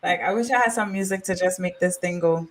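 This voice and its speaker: A woman's voice: fairly low pitch, a little fast. A woman from Trinidad, an upbeat and chatty podcast host who laughs often. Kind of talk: casual podcast chat.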